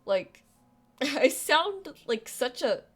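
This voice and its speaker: A woman's voice: high-pitched, big pitch swings, drawn-out words, fairly bright tone, faint music behind. A young woman with a youthful, girlish voice, chatting casually on a live stream, rambling and self-deprecating with lots of restarts and fillers.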